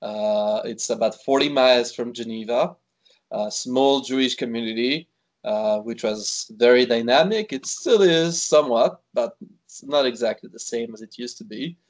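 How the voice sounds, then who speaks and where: A man's voice: mid-range voice, moderate pace. Chatty Swiss-born man, full of fillers. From Switzerland. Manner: chatty, many fillers and restarts. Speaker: wine expert guest. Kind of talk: conversational interview.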